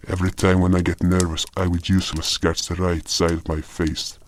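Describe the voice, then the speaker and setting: A man with a low, deep voice narrates a fantasy audio drama in the first person. His delivery is scripted storytelling. The recording carries some noise. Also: level tone, brisk. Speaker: narrator and voice actor.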